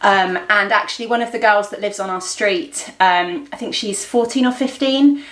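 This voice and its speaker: A woman's voice: mid-range voice, normal speed, a bit bright. A middle-aged woman chatting casually to camera in an informal, rambling vlog style, often thinking aloud. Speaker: vlogger and host of a daily family and craft vlog. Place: indoors at home. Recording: roomy, echoey indoor room.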